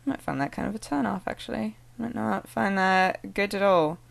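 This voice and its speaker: A woman from the American Northwest speaking in a put-on British accent, chatting casually as a vlogger in a rambling, halting, amused way. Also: medium pitch, creaky. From American Northwest (Oregon). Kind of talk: casual chat to camera with read-out one-liners.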